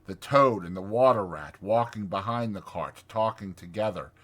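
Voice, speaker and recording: The man you would hear on a dramatized audiobook of an old children's classic, telling the tale theatrically in a lowish, keen-edged voice. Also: normal speed, fairly bright tone. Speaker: audiobook narrator. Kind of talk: dramatized storybook narration.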